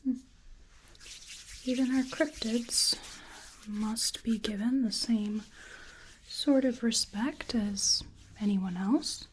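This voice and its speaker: A woman speaking softly in a breathy near-whisper, gently musing and hesitating through an unhurried ASMR medical exam roleplay. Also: on the high side, a little hiss or hum, low-quality recording. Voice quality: soft, breathy, often whispering. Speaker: ASMR performer playing a researcher examining a creature.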